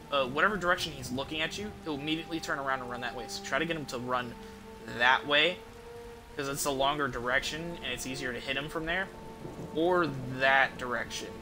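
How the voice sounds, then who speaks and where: A man with a slightly high voice talks through a video game as a streamer would. He explains tactics in a loose, rambling way and swears casually. Music plays clearly behind him. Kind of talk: casual gameplay commentary with tips.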